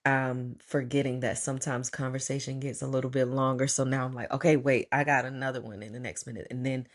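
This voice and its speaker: A man's voice: mid-range voice, flat delivery, fairly quick. A man hosting a podcast, chatting casually and laughing at himself. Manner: chatty, self-deprecating, laughs a lot. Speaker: podcast host. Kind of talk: casual interview chat.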